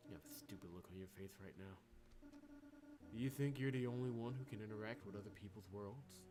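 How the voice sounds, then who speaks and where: A man plays a cryptic, standoffish young woman from a video game. The voice is on the low side, curt and dismissive, with music clearly underneath. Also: a little fast, lightly acted. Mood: cold, dismissive. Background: game music behind the voice.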